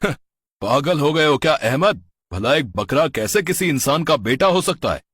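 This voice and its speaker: A man voicing a nosy, mocking village neighbour in a cartoon story, loud and exclamatory. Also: fairly high pitch, big pitch swings, moderate pace, husky, dry recording, studio quality, exaggerated voice.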